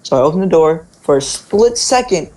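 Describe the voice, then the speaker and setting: A man's voice: a little high, expressive, normal speed, a little mellow, slight background noise. A young man casually telling stories in informal speech, often checking in with "right?" and "like". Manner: informal, peppers talk with "right?" and "like". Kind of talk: casual spoken storytelling.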